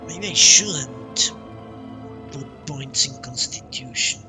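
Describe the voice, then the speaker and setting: A man's voice: mid-range voice, lively intonation, slow pace. A man doing casual live gameplay commentary, thinking aloud and muttering to himself, with some swearing. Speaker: live streamer playing a video game. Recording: game music behind the voice. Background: video game music.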